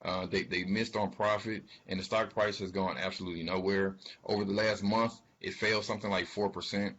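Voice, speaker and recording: हल्की भारी आवाज़ वाला आदमी, दबी रिकॉर्डिंग. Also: male voice, low voice, flat delivery, a bit bright. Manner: halting, emphatic, repeats words for stress. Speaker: financial commentator. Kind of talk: informal spoken commentary on an earnings call. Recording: dull, lo-fi sound.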